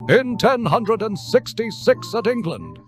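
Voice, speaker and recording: High, swooping pompous cartoon presenter. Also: male voice, very animated, a little slow, music in the background. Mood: emphatic.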